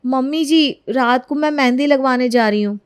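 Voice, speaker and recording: A woman's voice: sits high, normal speed, clear, resonant tone, voice pushed up, natural voice. A woman voicing an eager young newlywed daughter-in-law, sweet and coaxing, in an acted storybook tale. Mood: cheerful. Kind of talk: acted Hindi storybook narration.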